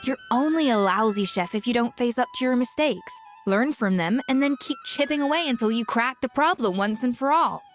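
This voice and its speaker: High-voiced, proud, demanding chef played by a woman. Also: animated, a little fast, hardly any pauses, soft background music, natural voice. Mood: scolding, impassioned. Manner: forceful, scolding, emphatic. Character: proud, demanding former head chef woman, blunt mentor. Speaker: voice actor. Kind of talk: video game cutscene acting.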